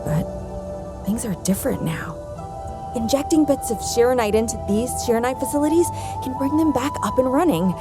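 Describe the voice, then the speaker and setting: An actress playing a composed, earnest woman researcher in a video game, measured and explanatory in delivery. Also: a little high, animated, loud music behind the voice, lightly acted. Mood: earnest, reflective. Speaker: game character.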